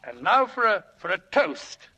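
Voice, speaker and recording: An actor playing a bumbling, jolly upper-class British chum who stammers and repeats himself, in 1940s radio style. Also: male voice, high voice, sing-song, measured pace, creaky, sharp tone, heavily acted. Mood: jolly, flustered. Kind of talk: 1940s radio drama acting. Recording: noisy old 1940s radio broadcast.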